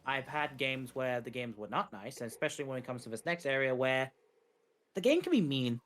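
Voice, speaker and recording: A man's voice: medium pitch, animated, a little fast, fairly bright tone, faint music behind. A man giving casual live commentary on a video game run, explaining it in a wry, tongue-in-cheek way. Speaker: speedrun co-commentator.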